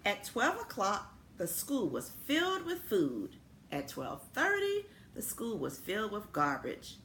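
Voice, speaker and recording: Woman, storybook reader for children, unhurried and lively pitch, roomy sound with some noise behind. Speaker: school principal reading a picture book to students. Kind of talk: expressive children's storybook reading. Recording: echoey room with noticeable background noise.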